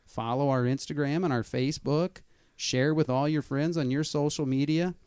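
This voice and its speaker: A man hosting a casual podcast in a small studio, greeting listeners with energetic showmanship. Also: fairly high pitch, normal speed, clean tone, no room sound. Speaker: podcast host.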